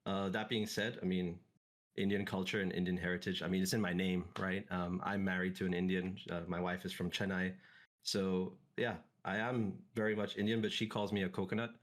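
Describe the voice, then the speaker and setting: Low-voiced Singaporean man, relaxed and reflective. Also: fairly quick. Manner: relaxed, reflective, many fillers and restarts. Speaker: esports team CEO, guest. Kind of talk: casual interview conversation.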